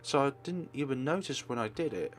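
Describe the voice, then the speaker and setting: A man's voice: medium pitch, lively intonation, a little fast, a little hiss or hum, natural voice. A man voicing a shy, flustered schoolgirl with a hesitant, stammering delivery, reading from a visual novel. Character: shy, flustered bookish first-year schoolgirl.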